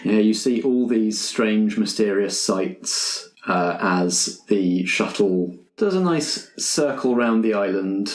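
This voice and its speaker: Low-voiced man narrating a game. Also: lively intonation, takes it slowly, few pauses. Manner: descriptive scene-setting narration. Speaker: game master and podcast host. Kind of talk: narrating a tabletop roleplaying game. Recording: roomy sound with music underneath.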